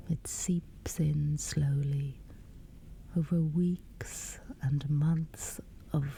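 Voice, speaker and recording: A woman guiding a sleep meditation in a breathy, whispery voice, soothing and measured with long pauses. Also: low voice, mellow, a little hiss or hum. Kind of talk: guided sleep meditation, scripted.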